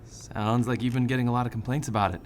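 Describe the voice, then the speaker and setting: Casting: actor as curious investigator, mid-pitched man, informal and insistent questioning, audio drama with faint background noise. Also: fairly even intonation, fast, natural voice. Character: curious, insistent male paranormal investigator interviewing witnesses. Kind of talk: naturalistic scripted podcast drama.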